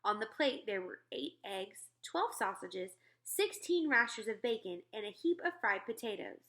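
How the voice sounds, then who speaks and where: A woman narrating a children's storybook aloud in an expressive read-aloud style. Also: medium pitch, normal speed. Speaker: storybook reader.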